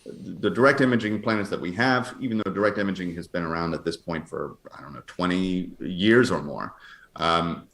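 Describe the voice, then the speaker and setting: A man speaking as an astronomer guest, explaining science conversationally in a halting, thinking-aloud way. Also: low voice, fairly bright tone. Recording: roomy, echoey sound.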